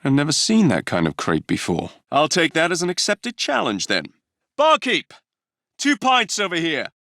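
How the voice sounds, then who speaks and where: An actor with an English accent playing a cocky, roguish young Victorian gang leader in a video game, wry, brash and teasing. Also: male voice, a little high, wildly swooping pitch, moderate pace, bright, forceful tone, dry recording, studio quality, full character voice. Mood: rousing. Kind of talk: dramatic video game dialogue.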